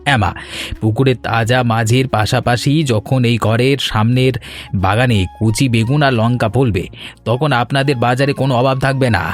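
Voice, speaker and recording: A man's voice: medium pitch, steady tone, moderate pace, close to an everyday voice. A man voicing an eager, respectful young village son-in-law in a Bengali cartoon story, earnest and polite, with a Bengali accent. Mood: helpful. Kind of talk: animated folk story dialogue. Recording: music bed under the voice.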